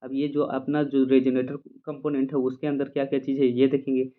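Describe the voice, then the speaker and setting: A man's voice: mid-range voice, completely flat delivery. A man with an Indian accent giving an informal physiotherapy lecture point by point, repeating himself and often checking that listeners understand. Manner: repetitive, checks understanding often, reads English definitions slowly. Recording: muffled phone-like audio.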